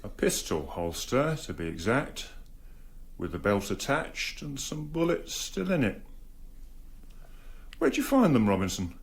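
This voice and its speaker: A man acting a dogged, sarcastic English police inspector in a radio drama, questioning with measured, mock-polite pressure. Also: medium pitch, sing-song. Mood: probing, sardonic.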